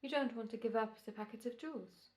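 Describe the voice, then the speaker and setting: A woman's voice: a little high, natural voice. A woman playing a courteous, sinister, knowingly amused doctor in an old-time radio drama. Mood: cool, polite. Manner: polite, measured, knowingly amused. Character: suave, sinister, courteous doctor scheming over murder.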